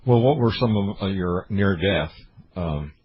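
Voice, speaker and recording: A close, dry and slightly muffled recording of a man with a deep, husky voice. He hosts a podcast and asks his questions casually. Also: expressive, unhurried, no room sound. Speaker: podcast host, interviewer.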